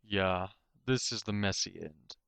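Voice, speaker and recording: A man's voice: low-pitched, measured pace, vocal fry, a bit bright, smooth, no room sound, heavily acted. A man voicing an easygoing, teasing male pegasus pony in a story, casual and lightly amused.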